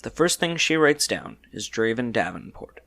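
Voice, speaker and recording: A man's voice: mid-range voice, sing-song, moderate pace, a bit bright. A man narrating a scripted story in the third person, in a storybook style.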